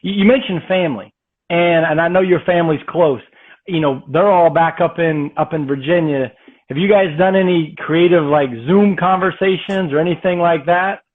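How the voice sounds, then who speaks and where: A male host and coach with a slightly raised, husky voice asks easygoing questions and nods along with his guest. His sound comes through a thin, phone-like call line, narrow and boxy. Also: on the high side, moderate pace. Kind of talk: casual interview chat.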